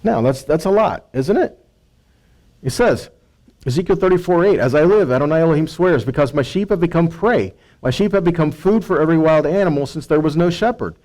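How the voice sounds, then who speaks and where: A man's voice: on the high side, expressive, brisk, darkish tone. An American man preaching in a conversational teaching style, often posing rhetorical questions and checking in with his listeners. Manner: conversational, rhetorical questions, frequent check-in tags like right and okay. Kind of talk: sermon-like Bible teaching, reading verses and explaining.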